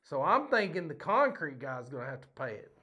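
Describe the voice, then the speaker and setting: A man hosting a podcast, ranting bluntly in a rambling, casual way, his voice a little hoarse. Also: a little high, big pitch swings, brisk, sharp tone, highs cut off. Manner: ranting, blunt, self-deprecating. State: slightly hoarse. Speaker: podcast host.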